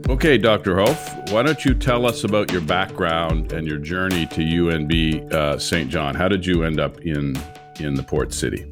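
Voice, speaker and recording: A man's voice: low-pitched, normal speed, over music. A man hosting a podcast, earnestly reading a prepared intro and then interviewing a guest. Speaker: podcast host.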